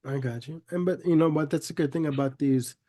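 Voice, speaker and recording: A man's voice: a little high, fairly quick, dark tone. A man with a head cold hosting a casual music chat, sounding congested, laid-back and given to repeating himself. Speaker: podcast host.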